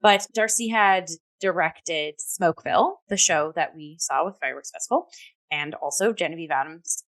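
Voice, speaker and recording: Female talk co-host, slightly low register, effusive and halting, conversational reviewer. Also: a bit bright, low-quality recording. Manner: effusive, halting, heavy use of "like". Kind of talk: casual review chat.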